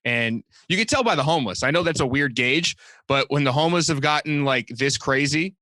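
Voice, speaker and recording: A man's voice: on the high side, lively intonation, fairly quick, pushed and bright, no echo. A young man from Massachusetts, a comedian and designer, chatting as a guest in a loose, joking way full of fillers. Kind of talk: casual interview chat.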